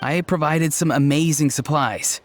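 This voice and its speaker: An actor playing an earnest, odd young tribesman in a video game, deadpan and matter-of-fact. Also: on the high side, measured pace, faint music behind, natural voice. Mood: sincere.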